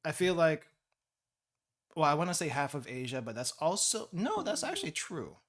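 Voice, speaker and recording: A man's voice: on the high side, huge pitch swings, moderate pace, a little hiss or hum. A young man from the Philippines, chatting casually and thinking aloud while he studies on a stream, laughing often. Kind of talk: casual study stream chat. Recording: music playing behind.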